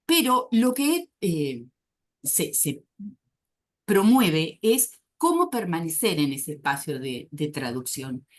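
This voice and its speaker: A woman psychoanalyst speaking on a conference panel, thoughtful and often pausing to search for words. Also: deep voice, very animated. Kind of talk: academic conference talk.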